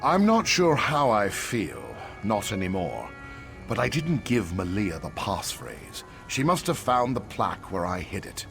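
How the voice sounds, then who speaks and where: A weary old man, voiced by an actor in a fantasy game, grave and regretful. His low voice moves in lively swings, with clear music behind it. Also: a little slow, exaggerated voice. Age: older. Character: weary, cautious old male pilgrimage survivor, regretful.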